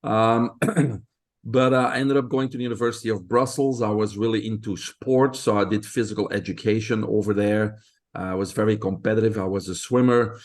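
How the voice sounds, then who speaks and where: Belgian-accented man, lowish voice. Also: fairly even intonation. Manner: plain storytelling with frequent "uh" pauses. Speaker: guest expert.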